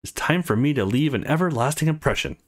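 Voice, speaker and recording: A man voicing a brash, teasing, excitable young woman in a visual novel, with a bratty, self-assured manner. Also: mid-range voice, fast, close to an everyday voice. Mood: playful, boastful.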